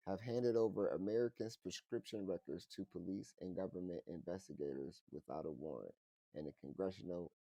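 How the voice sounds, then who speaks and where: A low-voiced man co-hosting a loose podcast chat. He talks in an animated, profane way, laughing often. The sound is dry and close. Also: no room sound. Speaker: podcast co-host. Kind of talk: casual chat.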